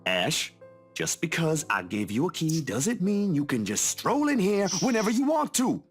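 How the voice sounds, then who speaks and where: Uneasy young man, easily exasperated, highish voice, animated, game dialogue, faint music. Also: moderate pace, slightly rough, heavily acted. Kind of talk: video game voice acting.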